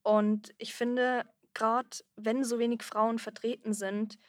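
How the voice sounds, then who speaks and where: A woman's voice: a little high, level tone, slow pace, no room sound, studio quality. A woman co-hosting a podcast interview, asking long, wordy questions. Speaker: podcast co-host.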